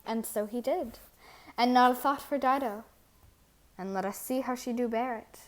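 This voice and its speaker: A woman's voice: on the high side, normal speed. A woman reading scripted dramatic narration in verse, as the storyteller of a play. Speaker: reader and narrator.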